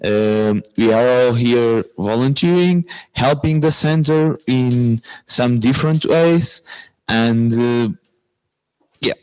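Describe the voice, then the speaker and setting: A man with a Portuguese accent speaking informally as a volunteer on a webinar, in halting, simple phrases. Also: mid-range voice, a little mellow, smooth, rough recording. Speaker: short-term volunteer. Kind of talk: informal explanation on a webinar panel.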